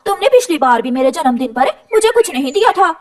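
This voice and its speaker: A woman's voice: high voice, big pitch swings, fast. A woman voicing a greedy, vain female crow in a cartoon, with a demanding, snappish manner.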